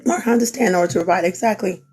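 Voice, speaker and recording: A woman's voice: mid-range voice, very fast, music in the background. A young woman who is losing her voice gives an earnest, repetitive pep talk on a live stream. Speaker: small business owner, crafter. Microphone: phone.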